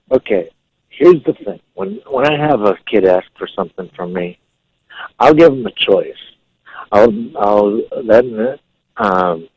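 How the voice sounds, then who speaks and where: Deep husky caller on a muffled line. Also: male voice. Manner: halting, hesitant. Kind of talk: phone call to radio show.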